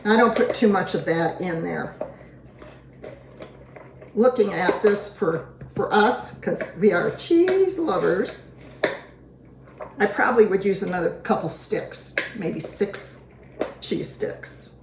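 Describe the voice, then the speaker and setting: A woman hosting a home cooking show, a little hoarse, chatting in a homey way as she works through a recipe. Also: medium pitch, expressive, slow, long pauses, background noise. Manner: chatty, homey, talks while working. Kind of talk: casual cooking demonstration. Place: home kitchen. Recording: echoey kitchen with clattering dishes. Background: dishes and utensils clinking.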